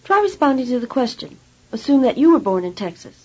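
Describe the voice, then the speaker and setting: A woman narrating a language course tape in a measured, teacherly way. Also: high voice, very animated, dark tone. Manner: measured, clear teacherly delivery. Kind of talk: scripted instructional narration. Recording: dull lo-fi tape recording.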